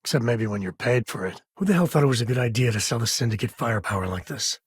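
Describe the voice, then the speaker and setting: A man playing a middle-aged space ranger in a video game, wry, folksy and good-hearted, with a husky, gravelly voice. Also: mid-range voice, lively intonation, fast talker, dry recording, clean studio sound. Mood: wry, casual. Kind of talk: video game voice acting, conversational.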